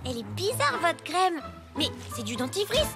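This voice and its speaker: A haughty little cartoon schoolgirl, speaks curtly and with disdain. Her voice is very high and animated, over loud music. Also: normal speed. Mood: disdainful. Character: young schoolgirl, haughty and impatient. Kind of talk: French animated cartoon dialogue.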